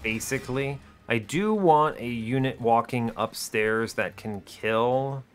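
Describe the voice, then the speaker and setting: A man's voice: medium pitch, slow, clear, resonant tone, soft background music. A man giving casual video game commentary, thinking aloud in a wry, chatty way with short clipped reactions. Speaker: video game streamer explaining his play.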